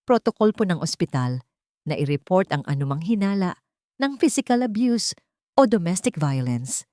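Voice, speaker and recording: A woman voicing an earnest male doctor in a story, serious, measured and formal. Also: on the low side, very animated, dark tone, no room sound, studio quality. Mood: firm.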